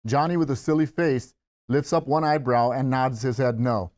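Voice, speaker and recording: Male narrator-host for a script reading, medium pitch, reading out stage directions and addressing the cast. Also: normal speed, darkish tone.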